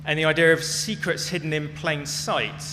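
A man giving a prepared public talk as a speaker, telling stories in a measured, dramatic way. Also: a little high, steady tone, background noise. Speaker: conference speaker. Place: large hall, talk to an audience. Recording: echoey hall with music behind.

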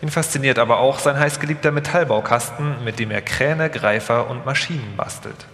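Male narrator for a live scripted documentary, mid-pitched, in a very reverberant hall. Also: a little fast, a bit bright. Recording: echoey hall, long reverb.

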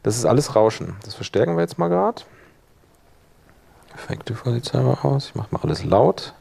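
A man presenting a hands-on software workshop live, informally thinking aloud as he demonstrates each step. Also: medium pitch, animated, normal speed, vocal fry.

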